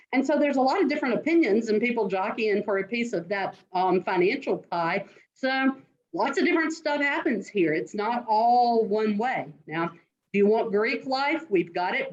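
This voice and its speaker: A woman's voice: mid-range voice, muffled recording. A middle-aged woman admissions dean answering interview questions in an earnest, direct way, giving students advice. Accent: non-New York American.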